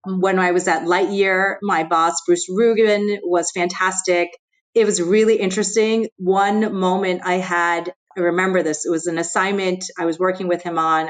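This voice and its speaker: A middle-aged American woman whose first language was Chinese, speaking candidly as a podcast guest, with many "you know" fillers. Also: fairly low pitch. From United States. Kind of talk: conversational interview.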